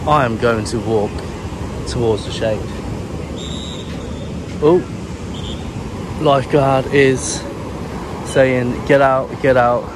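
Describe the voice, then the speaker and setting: A British man from England giving monotone, relaxed commentary while walking a beach outdoors, filming on a handheld camera. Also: medium pitch, slow pace, long pauses. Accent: British English. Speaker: travel vlogger. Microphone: handheld camera carried while walking. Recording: clear wind and surf noise. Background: ocean waves and breeze.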